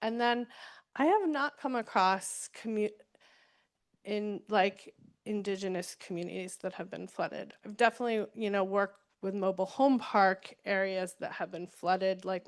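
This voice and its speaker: A woman presenter answering questions after her talk, relaxed and conversational, with frequent fillers. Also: mid-range voice. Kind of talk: casual Q&A answer.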